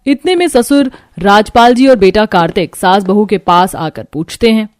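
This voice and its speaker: Lady narrator for cartoon stories, scripted read, mid pitch, faint music behind. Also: animated, normal speed, no room sound, clean studio sound.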